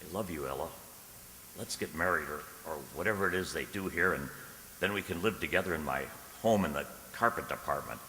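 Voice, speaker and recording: A man playing an earnest, anxious, lovestruck gentleman in a radio drama, with a stammering, dramatic delivery. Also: a bass voice, brisk, roomy sound, in a large hall, a little hiss or hum, exaggerated voice, poor audio. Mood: pleading. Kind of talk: radio drama acting, journal narration and dialogue.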